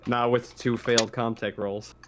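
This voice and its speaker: A man with an average-pitched voice works as game master for a tabletop roleplaying session. He explains rules and options haltingly, often restarting his words. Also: little pitch movement, background noise.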